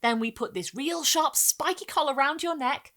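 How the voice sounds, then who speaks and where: A woman's voice: high voice, normal speed, voice pushed up. A woman voicing a boisterous, bossy young boy who relishes gore, in a dramatic storybook reading. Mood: eager. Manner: excited, bossy, dramatic childish delivery. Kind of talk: expressive short story reading.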